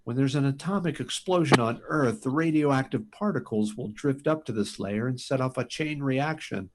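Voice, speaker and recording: An actor playing a frantic, halting test pilot pleading to warn of doom in a sci-fi radio drama. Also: male voice, mid-range voice, background noise, natural voice. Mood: dazed, awed. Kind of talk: live performance of 1950s sci-fi radio drama.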